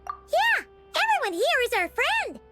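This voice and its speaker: A woman voicing a tiny, childlike, chatty fairy companion in a video game, excitable and blunt. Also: very high voice, a little slow, very noisy, heavily acted. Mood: cheerful, excited. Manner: excitable, speaks of self in third person. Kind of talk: animated video game voice acting.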